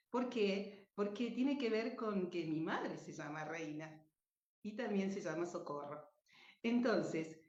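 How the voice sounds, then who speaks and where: A woman presenting and narrating her radio drama in an expressive, deliberate way. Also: on the low side. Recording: echoey room, dull muffled sound.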